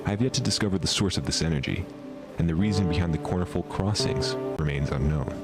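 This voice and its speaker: Guy doing obsessed researcher horror narration, really deep voice, formal and clinical, music loud behind it. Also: fast talker. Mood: clinical, fascinated. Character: obsessed male researcher documenting otherdimensional creatures at home. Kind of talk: scripted analog horror narration.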